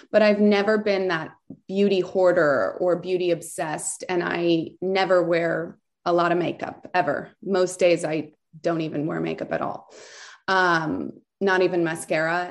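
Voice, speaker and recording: A woman from Southern California, a beauty store founder, telling her story in a chatty, rambling way with many fillers and restarts. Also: on the low side. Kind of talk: casual interview storytelling.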